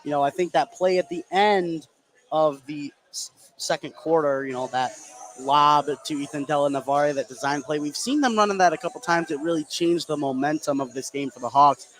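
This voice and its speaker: A man's voice: on the high side, normal speed, fairly bright tone, poor audio. A man doing live basketball commentary for radio, explaining the play in an analytical way. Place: indoor basketball gym. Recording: live gym broadcast with faint noise. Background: faint gym noise, bouncing basketball.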